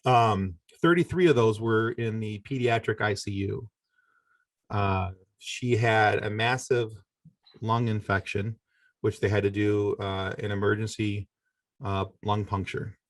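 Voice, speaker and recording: Male, middle-aged, lowish voice. Podcast guest recounting personal experience in halting, conversational fashion. Dry, close recording. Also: steady tone, no echo. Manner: halting, conversational, self-correcting delivery. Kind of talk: personal storytelling in a podcast interview.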